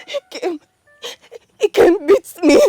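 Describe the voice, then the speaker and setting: A heartbroken young bride-to-be with a Nigerian accent, in a melodrama. Her high voice sobs with desperation, recorded close and dry. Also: lively intonation, extremely slow, warm tone, no echo, soft background music, exaggerated voice. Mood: sobbing, distraught. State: crying. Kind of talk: village melodrama film acting.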